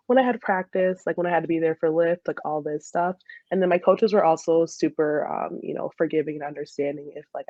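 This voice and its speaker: A woman's voice: low-pitched, a little mellow, dry recording. A young American woman chats casually as a podcast guest, telling stories in a giggly manner. The recording is dry and somewhat dull, with lo-fi sound. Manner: giggly, laughs often while talking. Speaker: interview guest, former college soccer player. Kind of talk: casual chat, anecdotal.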